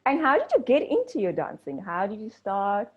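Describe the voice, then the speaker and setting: A woman's voice: mid-range voice, huge pitch swings, moderate pace, breathy edge, narrow, tinny audio. A woman from Cape Town with a South African accent, hosting a casual interview and cheering her guest on. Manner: upbeat and encouraging, many short backchannels. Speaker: interview host.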